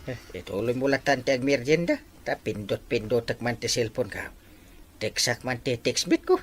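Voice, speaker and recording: A man's voice: mid-range voice, animated, normal speed, close to an everyday voice. A man with an Ilocano accent playing a wily, scolding village captain in a comedy drama. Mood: casual.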